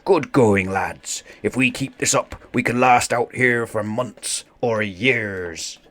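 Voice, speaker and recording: A man's voice: mid-range voice, takes it slowly, fairly bright tone. A man voicing a plucky, blustery pirate captain rallying his crew in a children's storybook. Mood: cheery. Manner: blustery pirate talk with arrs and mateys.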